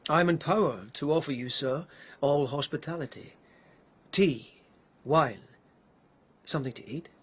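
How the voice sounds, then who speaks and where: A man's voice: a little high, measured pace, breathy edge. An actor playing a dutiful, exasperated palace policeman in a radio drama, terse, formal and guarded.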